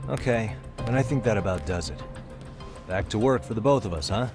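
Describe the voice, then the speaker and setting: An actor playing a calm, streetwise private detective in a video game dub, with a cool, probing, wry delivery. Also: male voice, fairly low pitch, brisk, over music. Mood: probing, businesslike.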